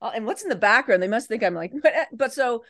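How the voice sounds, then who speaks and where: A woman's voice: on the high side, expressive, fast, fairly bright tone, no echo, clean studio sound. A middle-aged actress chatting casually as a podcast guest, often cutting into her own sentences in a playfully theatrical way.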